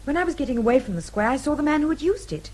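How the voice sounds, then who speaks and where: A woman's voice: high voice, very animated, racing, darkish tone, poor audio. An actress playing an insistent young Englishwoman in a British radio drama, speaking emphatically and precisely with an English accent. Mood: firm, defiant. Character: insistent young Englishwoman, eyewitness who will not be doubted.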